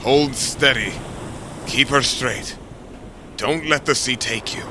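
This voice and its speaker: A man's voice: mid-range voice, slow pace, noisy background, voice pushed up, close to an everyday voice. A man playing a resolute, defiant ancient Greek king and sea captain in an epic audio drama, declaiming his orders. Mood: commanding.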